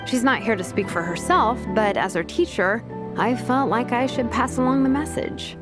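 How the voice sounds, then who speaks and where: An actress playing a laid-back, tactful but firm vice captain and teacher in a video game. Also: female voice, a little high, animated, moderate pace, music in the background, lightly acted.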